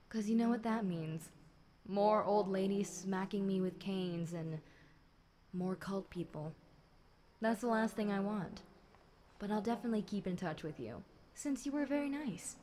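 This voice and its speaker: A woman's voice: medium pitch, darkish tone, natural voice. A woman playing a chatty, centuries-old fallen angel demon girl, talking wryly and conversationally to one listener in an audio roleplay. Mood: friendly, confiding. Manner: conversational, wry, unhurried, dramatic musing. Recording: clear background noise.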